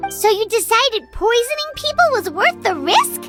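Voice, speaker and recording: A woman's voice: very high voice, big pitch swings, normal speed, over music. A woman voicing a small, curious, childlike fairy companion in a video game, with a questioning, exclamatory manner. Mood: questioning, indignant.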